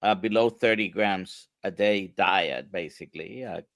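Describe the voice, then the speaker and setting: A man's voice: low-pitched, a little slow, gravelly, fairly bright tone, no room sound, studio quality. A male doctor from Puerto Rico, explaining diet to an interviewer in an emphatic, conversational way with rhetorical questions.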